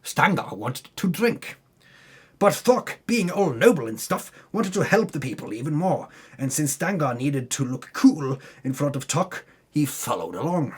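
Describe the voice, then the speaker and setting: A man's voice: a little high, very animated, measured pace, breathy edge. A man giving a dramatic, tongue-in-cheek reading of a fantasy game recap, breaking off into muttered asides. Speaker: narrator recapping a tabletop game campaign.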